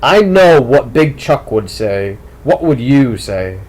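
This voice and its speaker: Audiobook role: man as a clever teenage jock, coolly insistent and manipulative, very unhurried delivery. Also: medium pitch, very animated, a little mellow, poor audio. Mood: bitter, sardonic. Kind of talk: audiobook character dialogue.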